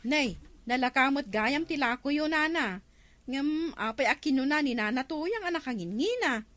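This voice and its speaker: A woman's voice: high-pitched, animated, moderate pace, fairly bright tone, dry recording. A woman with an Ilocano accent playing a talkative, mildly exasperated village neighbour in a comedy drama. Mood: chatty.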